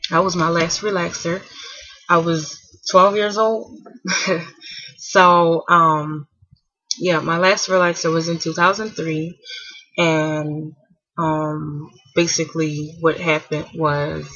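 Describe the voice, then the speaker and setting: Bright, husky young woman chatting softly. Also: low-pitched, little pitch movement, slow pace, pauses often, no room sound, muffled recording. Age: 20s. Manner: rambling, with many fillers and restarts; speaking softly to keep a napping child asleep. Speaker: hair vlogger. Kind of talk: casual chat to camera.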